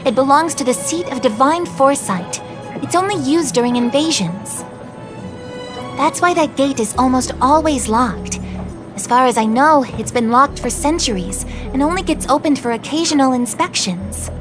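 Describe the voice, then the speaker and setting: A high-pitched young woman clerk in a video game. She is formal, courteous and earnest, explaining in an orderly way with music underneath. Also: expressive, normal speed. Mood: explanatory.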